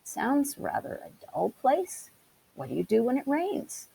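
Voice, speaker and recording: A woman voicing a brisk, fussy, genteel male town mouse in a storybook, polite but rather huffy. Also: sits high, huge pitch swings, creaky, dark tone, slightly rough, pitched above the performer's own voice, heavily acted, rough recording. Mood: polite disdain. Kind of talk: storybook character voice. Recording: hissy background noise.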